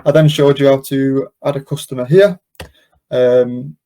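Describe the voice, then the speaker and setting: A man presenting an informal step-by-step software tutorial, explaining as he goes in an unscripted, hesitant way with frequent ums. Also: mid-range voice, fairly even intonation, measured pace, slight background noise. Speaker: presenter of a software demonstration webinar.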